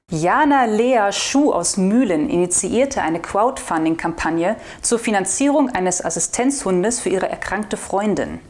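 A young woman hosts a local newspaper's weekly video news show. She talks to the camera in a relaxed, chatty tone, then reads short news items plainly. The sound is close and clean from a lapel mic in a small studio. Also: medium pitch. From northwestern Germany. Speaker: video host of a regional newspaper. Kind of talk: casual weekly news roundup. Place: studio in front of a blue backdrop.

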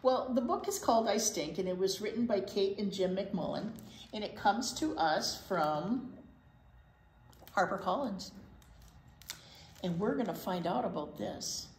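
A woman's voice: medium pitch, expressive, unhurried, long pauses, a little mellow. An older woman chatting to children as a library storytime presenter. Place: indoor library room. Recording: roomy echo, faint room noise.

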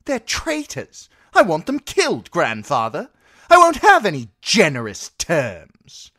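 A man voicing a spoiled, cruel teenage boy king who whines and shouts his demands. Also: wildly swooping pitch, measured pace, no echo, clean studio sound, full character voice. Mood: demanding. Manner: shrill, whiny, shouting demands.

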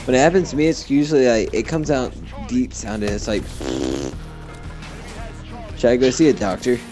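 Giggly teen boy co-player, middle pitch, faint game music behind. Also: moderate pace. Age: teenager. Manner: giggly, joking. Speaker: co-player friend. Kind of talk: casual gaming chat.

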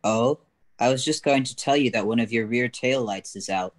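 A man's voice: mid-range voice, moderate pace, lightly acted. An older man playing a lenient, matter-of-fact police officer in an amateur radio play. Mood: official.

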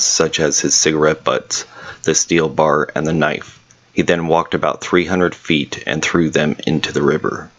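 A man giving a measured, serious scripted voice-over narration about a local ghost story. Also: rumbling low, no room sound. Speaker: paranormal investigator narrator.

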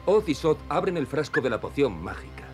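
Animated male cartoon narrator. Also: on the high side, a little fast. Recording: music behind the voice.

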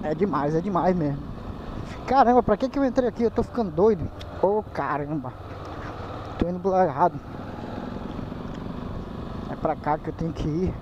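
Slangy Brazilian motovlogger on a night ride. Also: male voice, high-pitched, expressive, normal speed, pauses often. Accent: Manaus Brazilian Portuguese. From Manaus, Brazil. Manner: laid-back slangy chatter to viewers. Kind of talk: casual motovlog commentary while riding. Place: riding a motorcycle on city streets at night. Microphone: helmet camera mic. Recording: dull helmet-cam audio with loud wind and engine noise.

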